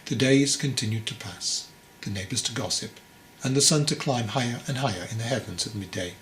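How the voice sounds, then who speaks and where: A man reading a story aloud as its author, in measured phrases with deliberate pauses. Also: mid-range voice, fairly bright tone, a little hiss or hum. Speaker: author reading his own book aloud. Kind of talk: scripted story narration.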